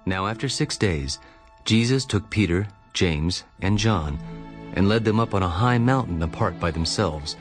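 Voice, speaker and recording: A male narrator reads scripture from a script in a deep voice. Prominent music plays behind the narration. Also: expressive, a little slow.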